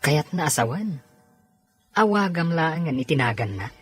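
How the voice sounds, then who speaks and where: A man's voice: fairly high pitch, expressive, moderate pace, fairly bright tone, husky. A man with an Ilocano accent acting a sociable, teasing husband and friend in an audio drama.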